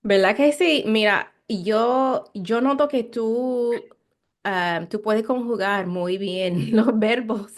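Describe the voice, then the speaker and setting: A woman's voice: a little high, slow. A woman with an American accent hosting a casual interview in Spanish as a second language, searching for words as she goes. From Chicago, United States. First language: English. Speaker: interviewer, host.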